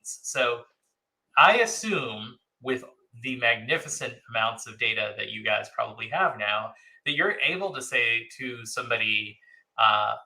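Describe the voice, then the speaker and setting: A man hosting an interview in a casual, upbeat way, often lifting his voice for quick reactions. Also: medium pitch, expressive, vocal fry, sharp tone, poor audio. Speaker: host.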